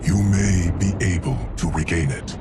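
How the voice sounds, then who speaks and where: A man's voice: sits low, normal speed, music in the background, close to an everyday voice. A man playing a solemn, loyal steward of time in a video game, with a formal, grave manner. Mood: solemn, measured.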